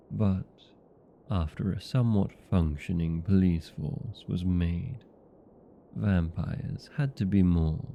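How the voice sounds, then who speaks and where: A man playing a chatty, petty, century-old vampire in a comedic audio roleplay, talking in a wry, conversational, mildly complaining way with drawn-out words. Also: low-pitched, creaky, mellow, muffled recording, heavily acted. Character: chatty, petty, 127-year-old male vampire being interviewed.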